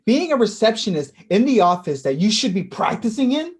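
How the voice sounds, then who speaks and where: Woman as husky, insistent younger brother. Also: mid-range voice, big pitch swings, brisk, darkish tone. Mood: insistent, challenging. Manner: pressing, direct. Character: insistent, needling younger brother, college-graduate age. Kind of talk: naturalistic staged play reading.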